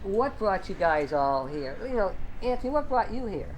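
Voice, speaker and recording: A woman's voice: medium pitch, sing-song, normal speed, a little hiss or hum, poor audio. A woman hosting an informal sports interview, introducing guests and asking them questions. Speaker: interviewer and host.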